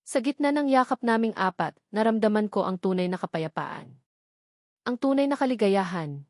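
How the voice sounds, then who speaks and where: A woman reading a scripted first-person love story as narrator, with sentimental, measured phrasing. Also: mid-range voice, a little mellow, dry recording, clean studio sound.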